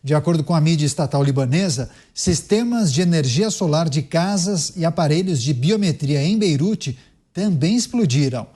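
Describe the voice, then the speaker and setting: A man's voice: a little high, lively intonation, moderate pace, dark tone, husky. A Brazilian man hosting a news show, reading reports and moderating a panel.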